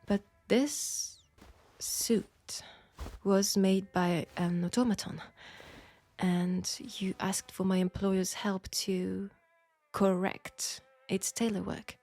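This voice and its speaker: A woman's voice: mid-range voice, fairly even intonation, slow pace, dark tone. A woman with a French accent playing a polite, formal, self-assured seamstress in a steampunk audio roleplay drama. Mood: discreet, formal. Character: proud, outspoken, formal young seamstress in steampunk Paris.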